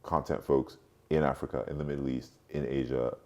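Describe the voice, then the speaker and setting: Very deep-voiced man in his late forties. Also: flat delivery, darkish tone. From Europe, mostly France, born in Cameroon. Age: late 40s. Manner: halting, thinking aloud with repeated words. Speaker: podcast guest, marketing strategist. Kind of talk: reflective interview talk.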